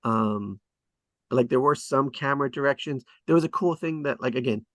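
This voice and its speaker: A man's voice: medium pitch, normal speed, a bit bright, no echo, clean studio sound. A man hosting a table read, chatting casually and hesitantly about scriptwriting as he thinks aloud. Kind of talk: casual rambling discussion of screenwriting.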